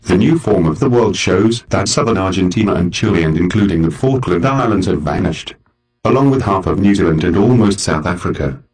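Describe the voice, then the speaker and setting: A man's voice: sits low, expressive, brisk, darkish tone, slightly rough, rough recording. A man playing a serious newsreader giving a scripted disaster report.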